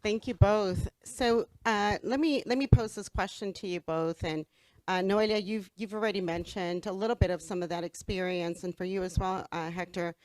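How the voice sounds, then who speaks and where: A woman's voice: mid-range voice, steady tone, moderate pace, a little mellow, no echo, poor audio. A woman moderating a panel discussion, asking long, careful questions. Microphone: handheld microphone.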